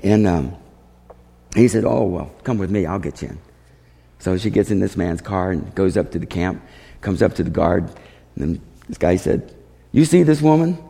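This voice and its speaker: A man with a gravelly, mellow voice tells missionary stories as a testimony. He is animated in how he relays who said what. The voice rings through a reverberant hall. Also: low-pitched, normal speed, pauses often. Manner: animated, acts out dialogue. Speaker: missionary speaker. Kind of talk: testimony storytelling to a congregation.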